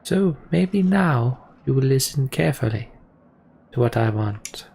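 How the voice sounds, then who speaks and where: A man voicing a scheming, menacing imprisoned manipulator in a video game, deliberate and taunting as he makes his speeches. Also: mid-range voice, expressive, gravelly, darkish tone, a little hiss or hum. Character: scheming, menacing male prisoner and political manipulator. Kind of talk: dramatic reading of RPG dialogue.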